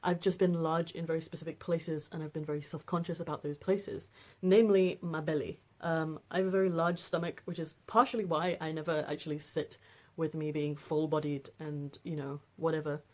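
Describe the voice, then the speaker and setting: A young woman in her twenties with a notably low, deep voice. She talks to camera in a loose, rambling way, full of ums, restarts and asides, as if chatting at home. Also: fairly even intonation, fairly quick, darkish tone. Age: 20s. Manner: rambling, frequent fillers and restarts. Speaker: vlogger. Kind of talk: casual personal vlog.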